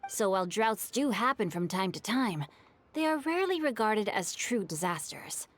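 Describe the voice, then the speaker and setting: A woman's voice: mid-range voice, big pitch swings, moderate pace, close to an everyday voice. A woman voicing a polite, bookish young man in a video game, speaking in formal, thoughtful, storytelling phrases. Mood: intrigued. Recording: game dialogue over background music. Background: game music.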